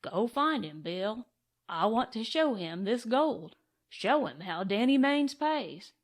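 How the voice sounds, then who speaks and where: A woman voicing an excited, grateful cowboy gold prospector in an audiobook western, with folksy, boastful talk. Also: on the high side, slow, heavily acted. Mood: grateful, eager.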